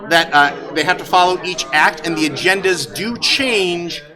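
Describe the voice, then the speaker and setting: A man working as game master, narrating a tabletop roleplaying game in a halting, improvised, amused way. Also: sits high, music in the background. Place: live event at a gaming convention. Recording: live convention hall with crowd noise. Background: audience crowd.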